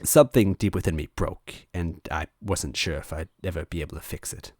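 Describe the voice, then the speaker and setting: A man reading a first-person story aloud in an expressive narrating style. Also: low-pitched, brisk, no room sound, studio quality. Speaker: story reader.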